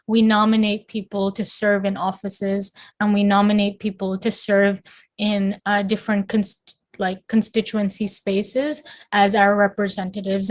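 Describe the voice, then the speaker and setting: Even-toned young woman speaking on a panel. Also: mid-range voice. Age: young adult. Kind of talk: unscripted personal account to a panel. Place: video call.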